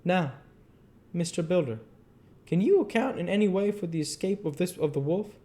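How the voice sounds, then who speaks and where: A man's voice: high-pitched, animated, moderate pace, mellow. A man reading a classic novel aloud as an amateur narrator, stumbling and repeating phrases as he goes. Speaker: amateur audiobook reader.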